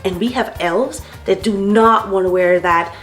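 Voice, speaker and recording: A woman from the Caribbean talking casually as a guest author on a children's show, animated and playful. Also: medium pitch, measured pace, roomy sound. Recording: music playing behind the voice. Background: background instrumental music.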